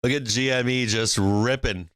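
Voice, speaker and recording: A man's voice: mid-range voice, steady tone, moderate pace, fairly bright tone, clear, resonant tone, no echo, studio quality. A man from Boston hosting a live stock trading stream, brash and joking, laughing often between calls. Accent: faint Boston. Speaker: stock market livestream host.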